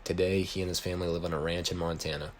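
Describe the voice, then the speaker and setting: A man's voice: low-pitched, level tone, racing. An American man reading his own written story aloud as an amateur storyteller. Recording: noisy home recording.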